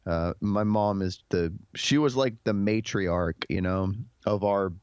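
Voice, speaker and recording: A man's voice: on the low side, measured pace, darkish tone, smooth, no echo, muffled recording. A man in his thirties from Texas talking informally and reflectively as an interview guest. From Dallas, Texas, United States. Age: 30s. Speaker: podcast guest. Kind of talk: conversational interview, telling personal history.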